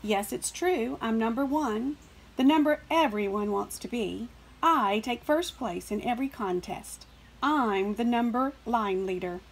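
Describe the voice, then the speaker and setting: A woman's voice: on the high side, a little slow, low-quality recording. A woman voicing a vain, smug storybook number who boasts of being first.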